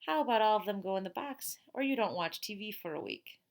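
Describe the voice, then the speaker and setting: A woman's voice: medium pitch, animated, brisk, natural voice. Exasperated storybook mum, read by a woman, firm and no-nonsense. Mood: bargaining. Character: exasperated, firm mother of a young boy.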